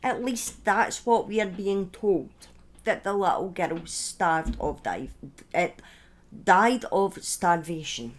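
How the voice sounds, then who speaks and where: A woman telling a true-crime story in a conversational, partly scripted way, with informal asides, opinions and halting phrasing. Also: fairly low pitch.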